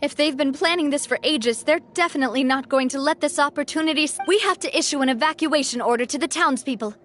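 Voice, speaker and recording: High-pitched, upbeat young lady boss. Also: fast talker, a bit bright. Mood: urgent, resolute. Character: upbeat young woman boss of a protective guild. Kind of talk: English game voice acting.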